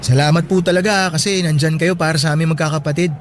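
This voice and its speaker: Actor as a high-voiced, respectful young son. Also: male voice, steady tone, fast talker, background noise, lightly acted. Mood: earnest, grateful. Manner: polite, gentle, deferential. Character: respectful, caring college-student son, earnest and worried. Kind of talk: Filipino radio drama acting.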